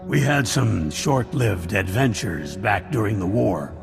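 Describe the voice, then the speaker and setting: An actor playing a bluff, commanding male army general in a video game, with a gravelly voice and familiar authority. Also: on the low side, lively intonation, unhurried. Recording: reverberant hall, music behind.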